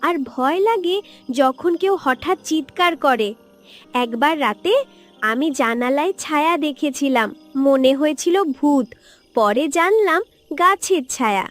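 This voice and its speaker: Nervous, clever cartoon little sister, tiny very high-pitched voice, earnest delivery. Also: female voice, lively intonation, slow pace, faint music behind. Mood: earnest, curious. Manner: anxious, earnest. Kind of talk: children's cartoon voice acting.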